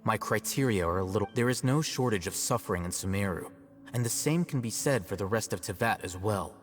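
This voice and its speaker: A man playing an aloof, self-assured young scholar in a video game, measured, articulate and slightly smug. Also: fairly low pitch, lively intonation, in a large hall, soft background music, lightly acted. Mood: firm, detached.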